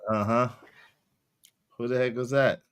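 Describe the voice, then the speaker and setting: A man hosting a casual group talk on a live stream, animated and quick to laugh, acting out quoted lines. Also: medium pitch, slight background noise. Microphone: mic on live stream.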